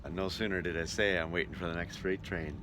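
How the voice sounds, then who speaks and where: A man's voice: steady tone, quick pace. A man narrating his travels in a casual vlog style, talking as he goes. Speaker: radio show host and entertainer on tour. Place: mostly outdoors, on location while travelling. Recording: noisy vlog audio with ambient vehicle rumble.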